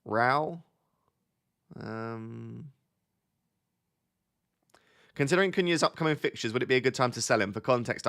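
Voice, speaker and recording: A man's voice: medium pitch, bright, forceful tone. Sniffly man hosting a live stream. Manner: chatty, thinks aloud, restarts sentences often. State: slightly sniffly with a cold. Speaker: livestream host answering viewer chat. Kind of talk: casual live-stream chat.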